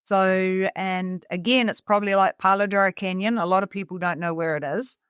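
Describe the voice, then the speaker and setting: A woman's voice: medium pitch, fairly even intonation, brisk, a bit bright, clear, resonant tone, no room sound. A middle-aged woman from New Zealand speaks with her native accent in a clean, resonant voice. She talks conversationally as an interview guest, and the sound is dry and close. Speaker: interview guest, cabin resort owner. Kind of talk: conversational personal storytelling.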